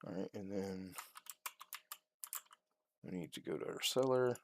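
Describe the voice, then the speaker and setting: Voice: sits low, a little slow, heavy background noise. A gamer giving casual let's play commentary, unhurriedly thinking aloud through each task. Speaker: gaming video host.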